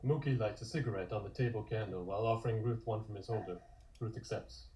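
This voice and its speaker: A man's voice: lowish voice, fast talker, warm tone. A man reading scripted narration and stage directions.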